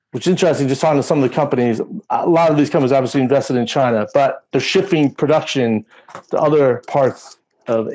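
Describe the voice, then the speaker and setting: A man with a dark, relaxed timbre and a middling pitch co-hosts a current affairs podcast. He talks casually and conversationally, as if thinking points through with a colleague. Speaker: podcast co-host.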